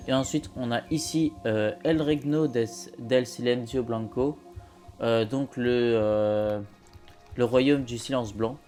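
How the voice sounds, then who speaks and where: A man with a dark, mellow voice hosts a casual video game build tour. His delivery is hesitant and halting, as he explains things piece by piece in an informal commentary style. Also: medium pitch, steady tone, faint music behind. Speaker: host presenting his zoo build.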